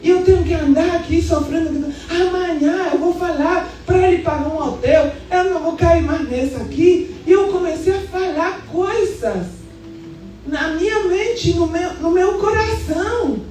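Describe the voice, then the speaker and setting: Very high-pitched Brazilian woman preaching, echoey hall. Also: a little slow. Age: 50s. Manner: animated, emphatic, colloquial. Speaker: pastor. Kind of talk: charismatic church preaching with personal storytelling. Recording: echoey hall, distant sound, music underneath.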